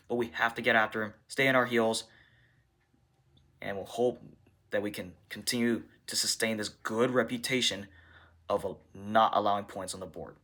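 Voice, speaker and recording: A man with a fairly low, bright and forceful voice speaks as a football coach fielding press questions. He phrases things deliberately, in short halting bursts. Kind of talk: press conference answers.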